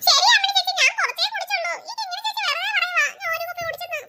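A woman's voice: extremely high pitch, racing, bright, forceful tone, a little hiss or hum, pitched above the performer's own voice, heavily acted. A woman doing a whiny thirsty little boy. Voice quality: whiny, crying protests.

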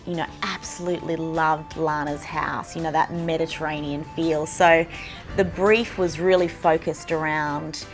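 An enthusiastic designer with a lowish voice, talking through plans for a house. Faint music runs behind her. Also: fairly even intonation, unhurried. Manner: enthusiastic, exclamatory. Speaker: renovation designer. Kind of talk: casual chat on a building site. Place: house under renovation.

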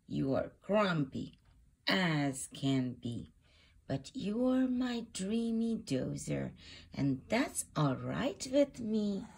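A woman reads a children's storybook aloud in a fairly low voice. Her delivery is very animated and unhurried, shaping each phrase deliberately for small listeners. She sounds like a host presenting a picture book. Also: vocal fry, slightly rough.

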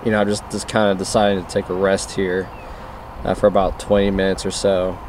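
Low-voiced young man vlogging over background noise. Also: flat delivery, fairly quick, a little mellow. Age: 20s. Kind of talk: casual chat to camera. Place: parked car and outdoor rest stop. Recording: noisy, car and outdoor background noise.

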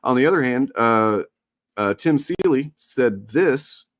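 A man's voice: mid-range voice, expressive. A man hosting a casual livestream, ranting bluntly and swearing freely. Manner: blunt, swearing, rapid rants. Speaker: livestream host.

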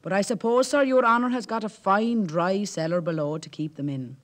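A man voicing an excitable, nervous young fisherman who exclaims and frets. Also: high voice, normal speed, pitched above the performer's own voice. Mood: excited. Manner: exclaiming, fretful.

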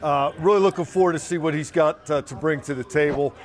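A man's voice: fairly high pitch, fast talker, soft background music. A man in his sixties, a team reporter, talking through his analysis in a casual, conversational way outdoors at a practice field. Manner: conversational, analytical, occasional dry humor. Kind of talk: casual sideline chat.

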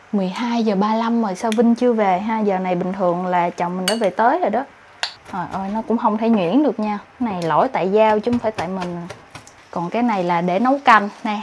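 High-voiced young southern Vietnamese woman vlogger. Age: 20s. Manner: playful, giggly, chatty. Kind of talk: casual vlog chat to viewers. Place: small apartment, kitchen and living room. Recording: clear household background noise.

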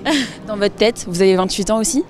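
A woman's voice: mid-range voice, fast talker, a little mellow. Young Frenchwoman hosting street interviews. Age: young adult. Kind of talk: presenting and asking questions to passers-by. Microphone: handheld microphone.

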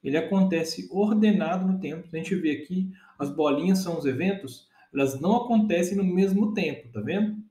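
A man's voice: sits high, brisk, few pauses, warm tone, echoey room. A man in his thirties with a Brazilian Portuguese accent, teaching a casual data engineering lesson step by step and often checking that his listeners follow.

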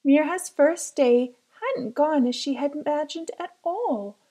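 A woman reading a children's picture book aloud as careful, expressive storytelling. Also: sits high, unhurried. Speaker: storybook reader.

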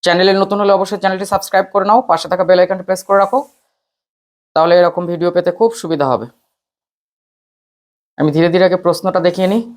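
A man's voice: high voice, steady tone, fast talker, long pauses. Man guiding students informally. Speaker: teacher presenting an exam paper to students. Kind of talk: informal exam paper walkthrough for students.

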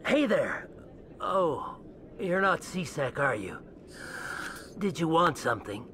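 A man's voice: a little high, very animated, slow pace, a bit bright, a little hiss or hum, full character voice. A man playing a nervous, paranoid alien in a video game, hesitant, anxious and insistent as he pleads for help. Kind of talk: video game character dialogue.

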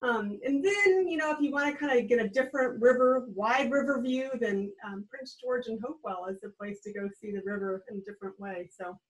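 A woman's voice: a little high, fairly quick, hardly any pauses, poor audio. An American woman in her thirties, a guest on a video-call interview, explaining in a friendly, upbeat way from a small room at home. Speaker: guest, regional trail program director. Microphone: webcam on a video call.

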